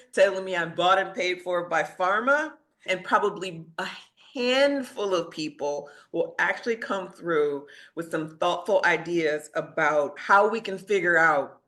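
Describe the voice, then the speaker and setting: A woman's voice: lowish voice, fairly bright tone. A woman talking as a podcast guest, conversational, stressing and rephrasing her points. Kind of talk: conversational discussion.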